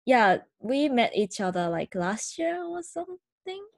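A woman's voice: a little high, big pitch swings, unhurried, a little mellow. A woman hosting a casual podcast in English, which is not her first language. She talks in an eager, upbeat way and laughs often. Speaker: podcast host.

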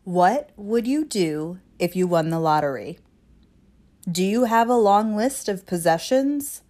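A woman with a cold, her voice raspy and her nose stuffed, hosting a solo podcast and pausing for breath as she talks. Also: medium pitch, animated, warm tone. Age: 20s. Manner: pauses to catch breath. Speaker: podcast host.

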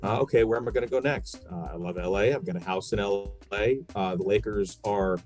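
A man from New York with a slightly deep voice talks sports casually. He makes his points emphatically and throws out rhetorical questions. Faint music sits under his voice. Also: a little fast, darkish tone. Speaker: sports podcast guest and radio play-by-play broadcaster. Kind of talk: casual sports talk, opinionated.

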